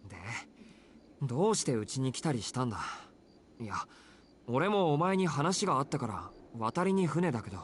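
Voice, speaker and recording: A man plays an exasperated but considerate teenage boy in a Japanese visual novel. He speaks bluntly at a very rapid clip, with lively swings in pitch, over slight background noise. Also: natural voice. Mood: frank, calmer.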